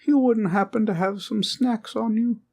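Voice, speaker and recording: A sweet little living teddy bear for a comfort roleplay. The pitch sits far up high, cute and cartoonish. It chatters with innocent, bubbly politeness, like a small child. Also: male voice, normal speed, gravelly, a little mellow. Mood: cheerful, curious.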